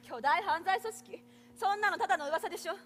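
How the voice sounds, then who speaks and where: An actress playing a haughty, imperious young prosecutor on stage, scolding in clipped commands. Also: female voice, very high-pitched, fairly quick, fairly bright tone. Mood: suspicious. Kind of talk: theatrical stage acting. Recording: noisy theatre stage recording.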